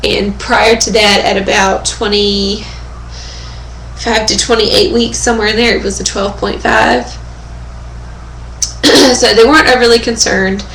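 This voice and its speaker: A young woman speaks slowly and casually into her webcam at home, her voice hoarse and fading. She fills her talk with pauses and keeps clearing her throat. The room echoes around her, with a faint hum of ambience behind. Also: medium pitch, level tone, a bit bright. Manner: chatty, many fillers and throat clearing. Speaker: vlogger. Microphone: webcam microphone.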